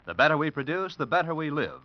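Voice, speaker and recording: A man's voice: on the high side, sing-song, quick pace. A man with an American accent reading scripted announcements in 1940s radio style. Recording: muffled, dry old radio recording.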